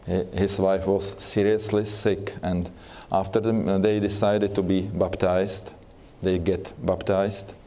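A man with a Czech accent giving a church seminar talk, speaking haltingly with many fillers and restarts. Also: low voice, level tone, dark tone. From Czech Republic.

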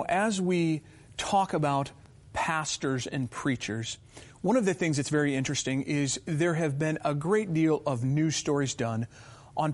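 A man with a somewhat high voice hosts the conversation. He asks his guest questions in a warm, affirming way. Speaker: host. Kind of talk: interview conversation.

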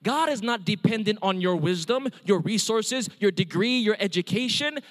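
A man's voice: high-pitched, expressive, moderate pace. A middle-aged man from Hawaii with a Hawaiian accent, preaching an earnest testimony sermon and calling for amens. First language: Hawaiian Pidgin. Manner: earnest storytelling with calls of amen. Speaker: evangelist. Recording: long hall reverb.

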